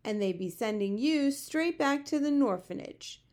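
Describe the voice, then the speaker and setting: Gentle male giant for a children's storybook, performed by a woman: resigned, muddled grammar, invented words. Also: high voice, expressive, unhurried, voice pushed up. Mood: stubborn. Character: big friendly giant, male, gentle, gloomy, word-mangling. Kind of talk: children's storybook character reading.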